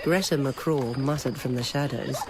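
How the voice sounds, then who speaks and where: A woman narrating a dramatic scripted story in a deliberate, literary manner. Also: a bass voice, over a noisy crowd. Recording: noisy old radio recording.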